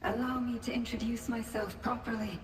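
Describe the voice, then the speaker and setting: A mournful lost soul voiced by a woman in a game cutscene, speaking slowly and solemnly in a fairly high voice. Long cavernous echo surrounds her, as if haunting the scene from far off. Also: little pitch movement, close to an everyday voice. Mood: sorrowful, solemn. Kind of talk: video game cutscene voice acting. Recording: echoey cavernous reverb, distant.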